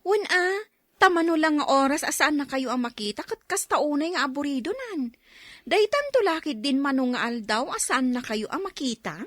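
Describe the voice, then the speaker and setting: Actress as a high-voiced, worried grown child. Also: female voice, animated, normal speed, a bit bright, no echo, clean studio sound. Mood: pleading. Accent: Ilocano. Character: concerned grown child of a quarrelling mother, pleading. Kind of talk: radio drama dialogue.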